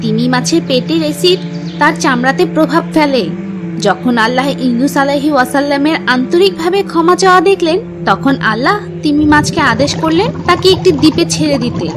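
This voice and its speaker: A woman's voice: sits high, a little fast. A woman reading a scripted religious story for children in a teacherly manner, as a storyteller. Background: music.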